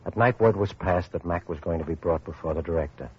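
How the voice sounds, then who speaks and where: An actor playing a weary, grave male survivor of atomic war, narrating a radio drama in a sombre, measured way. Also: sits low, husky, dry recording. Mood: sombre, reflective. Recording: muffled old radio recording, music behind.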